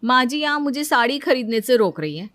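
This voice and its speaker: A woman's voice: high voice, lively intonation, a little fast, a bit bright, dry recording. A woman voicing a put-upon, envious elder daughter-in-law in a cartoon story, plaintive and pointed. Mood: envious, sarcastic. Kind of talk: Hindi cartoon story dialogue.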